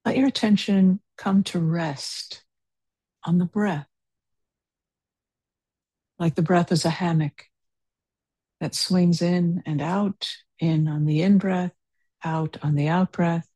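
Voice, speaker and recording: A woman leading a guided meditation in a low, mellow voice with a husky edge. She speaks very slowly and softly, leaving long pauses. The sound is close and dry. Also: fairly even intonation, no echo. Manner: slow, soft-spoken and unhurried, with long pauses. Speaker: meditation teacher.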